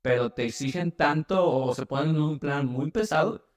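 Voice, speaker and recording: A man's voice: a little high, moderate pace. A man in his twenties from Mexico City with a Mexican accent, answering casually as an interview guest. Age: 20s. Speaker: interview guest, medical student and paramedic.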